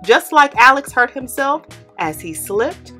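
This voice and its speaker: Bright, lively young woman reading aloud. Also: sits high, unhurried, soft background music. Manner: expressive, animated reading for kids. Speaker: children's book reader. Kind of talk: read-aloud storytelling. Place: indoor studio with plain orange backdrop.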